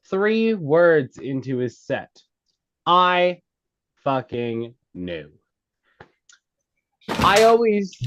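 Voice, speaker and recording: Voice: medium pitch, fairly bright tone, muffled recording, heavily acted. An actor at a script table read playing a blunt, insistent comedy club worker, emphatic and stumbling over lines.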